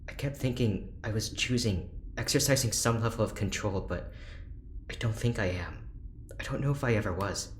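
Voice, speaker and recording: A man playing an anxious, unravelling corporate scientist in an audio drama, earnest, brittle and halting. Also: fairly low pitch, roomy sound, a little hiss or hum, natural voice. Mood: despairing.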